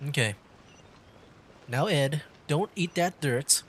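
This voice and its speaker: A man casually commentating on a game livestream in a hesitant, halting way, often repeating himself. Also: fairly high pitch, expressive, slight background noise. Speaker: streamer playing a video game. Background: game music.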